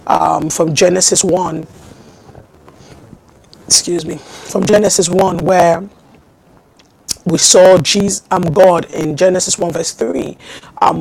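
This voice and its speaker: Gravelly-voiced woman teaching a sermon. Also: lowish voice, darkish tone, poor audio. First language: not Hebrew. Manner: measured teaching with frequent ums and repeated phrases. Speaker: preacher.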